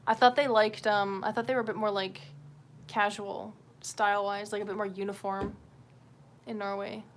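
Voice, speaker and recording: A woman's voice: medium pitch, little pitch movement, moderate pace. Candid, sweary woman streamer, noisy background. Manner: candid, sweary, self-deprecating. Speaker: livestream host. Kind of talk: casual rambling livestream chat.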